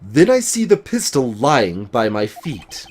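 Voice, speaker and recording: A man voicing a haunted, solemn game character confessing a dark memory, grave, halting and dramatic. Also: medium pitch, huge pitch swings, a little hiss or hum, voice pushed down. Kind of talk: dramatic voiced reading of game dialogue.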